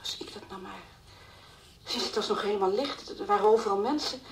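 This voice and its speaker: An actress playing the anxious, distraught mother of a missing girl in a Dutch radio play, halting and repeating her words. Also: medium pitch, natural voice. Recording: old radio play recording, faint hiss.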